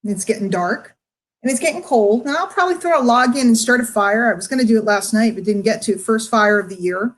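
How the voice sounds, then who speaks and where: A middle-aged woman speaking emotionally and candidly to her online followers, confiding and emphatic, with drawn-out words and pauses. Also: on the high side. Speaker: online marketer addressing followers. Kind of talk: candid livestream monologue to followers.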